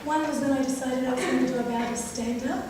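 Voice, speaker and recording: A hoarse woman in her fifties giving a composed, reflective funeral eulogy at a church lectern, with a wry touch. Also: sits high, fairly even intonation, fast talker, recorded from a distance, noisy background. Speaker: eulogist, eldest daughter. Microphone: microphone at a lectern. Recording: echoey hall, picked up from afar.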